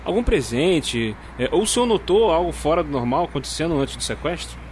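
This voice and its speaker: A man's voice: a little high, lively intonation, a little fast, a little mellow, slight background noise, natural voice. A man playing a determined, methodical Brazilian police detective in an audio drama. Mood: earnest, persuasive.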